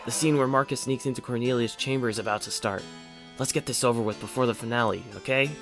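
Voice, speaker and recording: A man voicing an excitable young thief who also plays a noble stage swordsman in a theatrical video game fan dub. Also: mid-range voice, fast, music in the background, natural voice. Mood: excitable banter. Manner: stagey declaiming mixed with grumbling banter.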